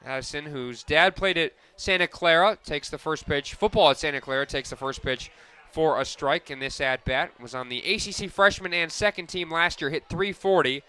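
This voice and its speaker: A man calling softball play-by-play for radio, narrating each pitch and play in crisp descriptive detail. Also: normal speed, hardly any pauses. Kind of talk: live softball radio commentary.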